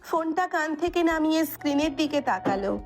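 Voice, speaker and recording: A woman's voice: high-pitched, expressive, brisk, faint music behind. A woman reading scripted dramatic narration as the storyteller of an audio story.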